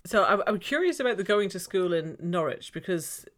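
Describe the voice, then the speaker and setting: A woman's voice: on the low side, expressive, moderate pace. A woman with an Irish accent hosting an interview, asking encouraging questions and laughing easily. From Ireland. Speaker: interview host, coach. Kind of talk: conversational interview.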